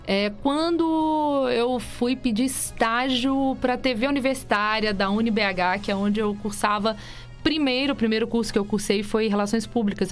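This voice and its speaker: Chatty woman with a light mineiro accent. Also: a little high. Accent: light Minas Gerais (mineiro). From Minas Gerais, Brazil. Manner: chatty, animated storytelling with many restarts. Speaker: guest, TV journalist and presenter. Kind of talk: casual radio interview. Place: radio studio.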